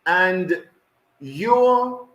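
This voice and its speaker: A man's voice: high voice, lively intonation, a bit bright, echoey room, highs cut off. A man hosting an online community call, reading out viewers' greetings by name, stumbling over names and laughing often. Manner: reads names haltingly with frequent laughs.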